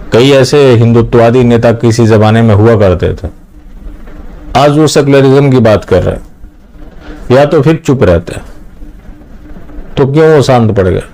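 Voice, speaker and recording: A man's voice: medium pitch, mellow, rough recording. A man hosting a political commentary channel, speaking straight to his viewers in an opinionated, critical way.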